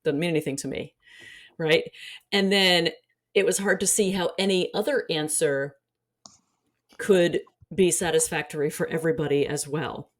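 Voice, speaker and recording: A woman's voice: sits low, fairly even intonation, moderate pace. A woman giving conversational, analytical commentary in an articulate, explanatory way. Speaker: guest scholar and commentator.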